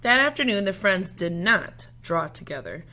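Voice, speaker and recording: A woman reading a children's picture book aloud expressively, in measured phrases with pauses, as a storyteller speaking to young listeners. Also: mid-range voice, expressive, bright, forceful tone.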